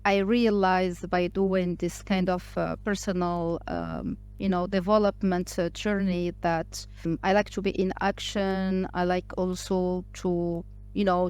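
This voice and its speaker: A woman's voice: fairly low pitch, a little mellow, clear, resonant tone. Hesitant woman from Morocco, interview guest. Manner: speaks English with frequent fillers, restarts and hesitations. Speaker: podcast guest, entrepreneur. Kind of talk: casual interview talk.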